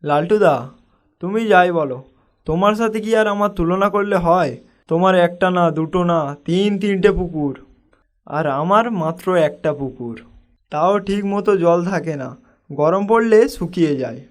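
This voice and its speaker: Man voicing a high-voiced humble young fisherman. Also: measured pace. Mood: earnest, protesting. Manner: earnest, modest. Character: humble, hardworking poor young fisherman, a husband. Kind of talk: cartoon dialogue acting.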